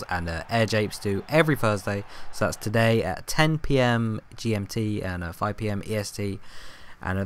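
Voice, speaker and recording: A young man talks over football gameplay in a somewhat low, hoarse voice that keeps threatening to give out. He rambles with ums and restarts, and a faint hum sits under the home recording. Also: fairly bright tone. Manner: rambling, filler-heavy, self-correcting. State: hoarse, coughing, voice going. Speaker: gaming YouTuber. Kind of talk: casual gameplay commentary.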